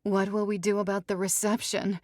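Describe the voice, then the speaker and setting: A woman's voice: mid-range voice, level tone, fairly quick, darkish tone, no room sound, studio quality, pitched above the performer's own voice, natural voice. A woman voicing a naive, stubborn jilted young bride in an audiobook, pleading and rambling in a breathless manner. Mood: lost. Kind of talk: audiobook character dialogue.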